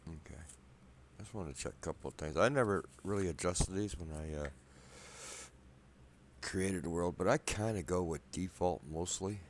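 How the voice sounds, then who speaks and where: A man quietly rambling through casual gameplay commentary in his apartment at night, a bit wheezy from a cold, soft-spoken and self-deprecating. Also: deep voice, normal speed, slight background noise. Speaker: gameplay video maker. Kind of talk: casual let's play commentary.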